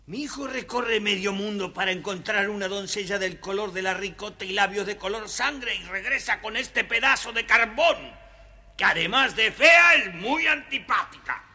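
A man's voice: high-pitched, moderate pace, bright, forceful tone, full character voice. An actor playing a blustering, pompous old king in a children's fairy-tale recording, speaking in exclamations. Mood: outraged, astonished. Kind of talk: theatrical children's record storytelling.

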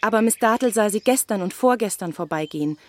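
An actress playing a deferential housemaid in a German radio play, speaking formally and courteously. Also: female voice, mid-range voice, expressive, fast, natural voice. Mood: polite. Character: polite, deferential English housemaid.

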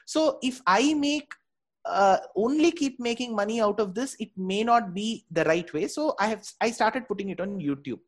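A woman's voice: medium pitch, animated, normal speed. A woman with a South Indian accent, a native Tamil speaker, giving earnest, respectful explanations as a lecturer being interviewed. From Bangalore, India. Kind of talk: interview answers, explanatory talk.

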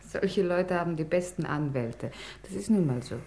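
An actress playing a concerned, loyal woman friend in a radio crime drama, soft and composed. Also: on the low side, expressive, normal speed.

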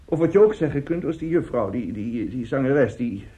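An actor in a radio drama playing a middle-aged, self-justifying seducer and family friend, speaking haltingly and emphatically. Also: male voice, medium pitch, expressive, mellow, close to an everyday voice. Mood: uneasy confession. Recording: dull, muffled radio play recording.

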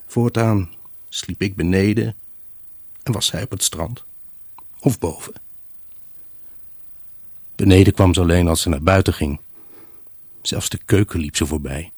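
An actor playing a lonely, grieving husband in an intimate radio drama monologue, brooding, halting and emotionally raw. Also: male voice, sits low, breathy edge, no echo, heavily acted. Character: grieving, haunted husband, lonely, obsessive and pleading. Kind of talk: Dutch radio drama monologue, intimate first-person narration.

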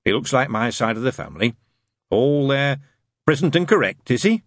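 A man's voice: medium pitch, big pitch swings, moderate pace, dry recording, clean studio sound, pitched above the performer's own voice. Man acting a hesitant, puzzled, polite father. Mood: doubtful. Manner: doubtful, polite, bumbling. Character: mild, hesitant, puzzled English father, an accountant.

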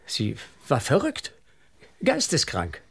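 An actor in a German radio drama playing an accused husband, tense and husky, who questions people urgently. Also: male voice, mid-range voice, wildly swooping pitch, takes it slowly, full character voice. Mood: agitated. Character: accused husband, tense man pressing for answers.

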